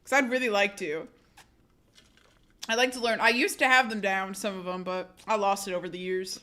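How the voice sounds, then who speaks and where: A woman's voice: mid-range voice, steady tone, moderate pace, pushed and bright. A woman livestreamer chatting casually with her audience, chatty and self-deprecating, often laughing and reading chat aloud.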